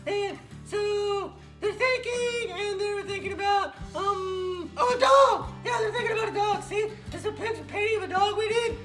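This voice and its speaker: A woman voicing an excitable, bossy little girl puppet who rambles and repeats herself while hosting a playful kids' show. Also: extremely high pitch, normal speed, few pauses. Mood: giddy, rambling. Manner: rambling, repetitive, childlike. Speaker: children's puppet show host. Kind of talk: playful improvised storytelling for kids. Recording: roomy echo, faint music behind.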